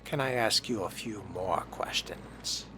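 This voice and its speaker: A man's voice: on the low side, a little slow, noisy background. A man voicing a wry, curious new vampire fledgling in role-play game dialogue, dry and questioning.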